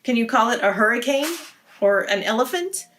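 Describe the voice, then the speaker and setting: A woman's voice: fairly high pitch, expressive, moderate pace, a bit bright, slight background noise. Woman reading a children's story aloud. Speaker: read-aloud host.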